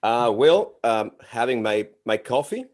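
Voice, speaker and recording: A man from Mexico City with a Mexican Spanish accent hosting an interview in halting English, often restarting his sentences. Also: medium pitch, very animated, darkish tone. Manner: halting, with many restarts and filler sounds. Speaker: interview host. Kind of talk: casual interview.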